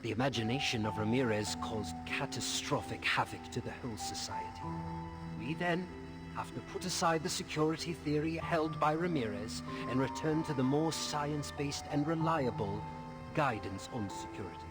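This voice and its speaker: An actor playing a pompous, self-assured company executive speaking formally in a video game. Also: male voice, medium pitch, moderate pace, loud music behind the voice, lightly acted. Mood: grave, self-assured. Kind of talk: scripted interview speech in a video game.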